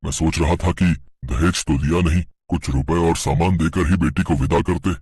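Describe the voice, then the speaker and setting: A man's voice: a bass voice, fairly even intonation, moderate pace, darkish tone, a little hiss or hum, voice pushed down. A man voicing a meek, placating elderly village uncle in an audio folk story.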